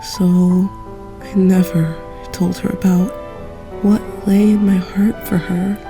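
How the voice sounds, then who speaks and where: A woman voicing a grown former knight who mentors a younger friend, gentle and reflective, in a fan audio drama. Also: medium pitch, little pitch movement, slow, music in the background. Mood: wistful. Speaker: voice actor.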